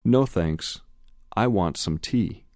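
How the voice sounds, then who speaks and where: A man reads a polite speaker in a language-course dialogue. His voice is very low and he reads slowly and deliberately. The sound is dry and close. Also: animated, darkish tone, no room sound. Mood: plain polite. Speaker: dialogue reader in an English lesson. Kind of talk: slow scripted textbook dialogue.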